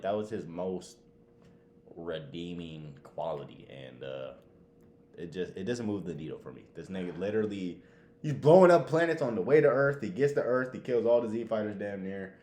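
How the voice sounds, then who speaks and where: A man's voice: sits low, a little mellow. A man co-hosting a podcast, giving blunt opinions in casual, slangy, sweary conversation. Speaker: podcast co-host. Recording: echoey room with faint background noise.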